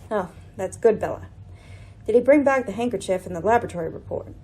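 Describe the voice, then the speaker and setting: A woman acting a shrewd, commanding lady detective in a radio mystery, speaking in a measured, formal, theatrical way. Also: mid-range voice, lively intonation, darkish tone, lightly acted, low-quality recording. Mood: assured, deductive.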